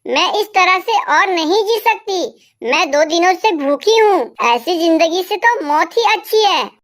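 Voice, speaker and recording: A poor little orphan bird girl from a cartoon. Her voice sits extremely high and childlike. She talks in a plaintive, pleading way. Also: lively intonation, unhurried, fairly bright tone, full character voice. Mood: despairing. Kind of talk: Hindi cartoon dubbing.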